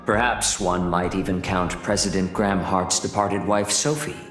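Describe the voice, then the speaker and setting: An actor voicing an urbane, smugly courteous corporate manager in a video game, speaking in formal, measured, wordy phrases. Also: male voice, low voice, steady tone, fairly bright tone. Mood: measured, lecturing. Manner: formal, verbose, genteel, measured. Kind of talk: video game cutscene voice acting, expository. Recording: roomy echo, music underneath.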